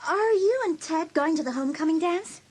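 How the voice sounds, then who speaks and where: A woman's voice: very high voice, lively intonation, a little fast, darkish tone. A woman playing a casual, cool teenage best friend in a dramatised audiobook. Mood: offhand.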